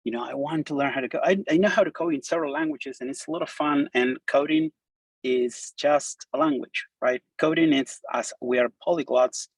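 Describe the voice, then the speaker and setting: A man's voice: fairly high pitch, a little fast, breathy edge, no echo, rough recording. A middle-aged man from Argentina with an Argentine Spanish accent, native Spanish speaker, giving an informal, enthusiastic meetup talk full of fillers and restarts. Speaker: conference speaker. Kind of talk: live meetup talk with demo.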